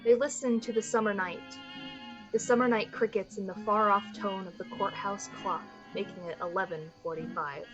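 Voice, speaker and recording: A woman's voice: medium pitch, steady tone, normal speed, highs cut off, over music. A woman giving scripted literary narration as the storyteller of an old-fashioned radio play.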